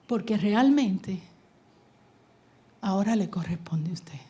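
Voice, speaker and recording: Husky-voiced woman preaching. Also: medium pitch, animated, slow pace. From United States (New Jersey). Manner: shifts from loud exhortation to hushed whispers. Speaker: conference speaker. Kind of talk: preaching testimony. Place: conference hall stage.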